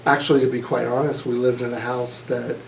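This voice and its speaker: A man in his fifties from Mississippi, giving an oral history interview in a measured, thoughtful way with frequent fillers and restarts. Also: medium pitch, level tone, vocal fry, reverberant, slight background noise. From Mississippi, USA. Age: 50s.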